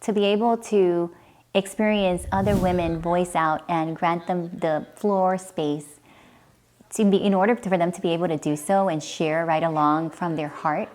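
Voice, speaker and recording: A woman's voice: lowish voice, steady tone, moderate pace, a little mellow. A woman giving a personal interview as president of an organization.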